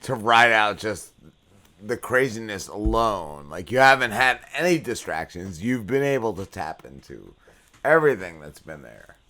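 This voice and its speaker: A man's voice: fairly low pitch, expressive, moderate pace, bright, forceful tone. A man co-hosting a podcast in casual joking chat, tossing in short quips and repeating his phrases. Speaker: podcast co-host.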